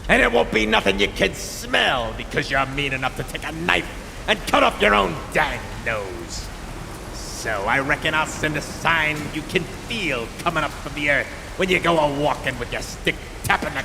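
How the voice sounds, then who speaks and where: A man's voice: on the high side, expressive, normal speed, vocal fry. A man playing a menacing Devil with a folksy, theatrical backwoods drawl in a live horror story. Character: menacing folksy Devil come to fetch a sinner. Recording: noisy live hall recording, long reverb.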